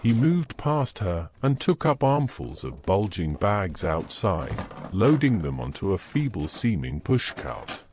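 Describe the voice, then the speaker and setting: A man's voice: low-pitched, a little mellow, slight background noise. A man narrating a scripted fantasy story as its storyteller.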